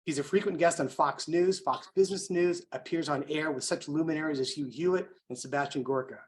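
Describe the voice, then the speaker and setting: An American man speaking as an event host, delivering an emphatic, rhetorical motivational introduction with deliberate phrasing. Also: fairly high pitch. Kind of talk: motivational speech introducing a guest.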